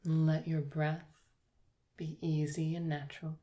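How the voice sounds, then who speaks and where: A woman yoga teacher guiding a yoga nidra relaxation, speaking slowly and softly with long pauses. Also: low voice, level tone. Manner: slow, soft, soothing guidance with long pauses.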